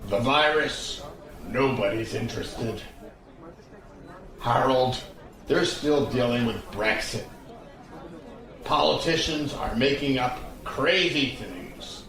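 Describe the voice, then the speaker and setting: An actor playing a blunt, fatalistic, world-weary soldier back from duty in an audio drama. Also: male voice, mid-range voice, slow pace, long pauses, fairly bright tone. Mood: weary, cynical. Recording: distant, very echoey, crowd noise behind. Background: pub crowd chatter.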